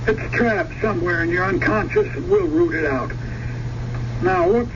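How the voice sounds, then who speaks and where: An actor in a radio drama playing a boozy former psychiatrist turned carnival hypnotist, coaxing and probing insistently. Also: male voice, sits high, moderate pace, lightly acted. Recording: dull, noisy vintage radio recording.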